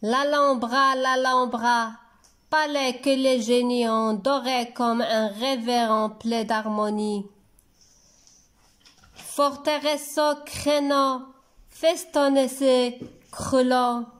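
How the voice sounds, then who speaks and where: Female poetry reader for a school lesson: high voice, extremely slow, deliberate phrasing. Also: steady tone, a bit bright. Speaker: teacher reading a poem for pupils. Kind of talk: slow poetry recitation for dictation and reading lesson.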